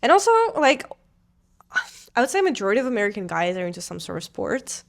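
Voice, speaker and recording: A woman's voice: mid-range voice, sing-song, fast, gravelly. Young Ukrainian-accented woman, vlogger, casual chat with frequent fillers. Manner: chatty, lots of "like" fillers.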